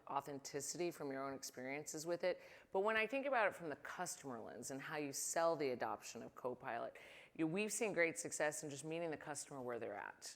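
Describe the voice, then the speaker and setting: Low-voiced woman telling a panel story. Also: a bit bright. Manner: upbeat, storytelling, conversational. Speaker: business leader, panelist. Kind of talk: panel discussion. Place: conference stage.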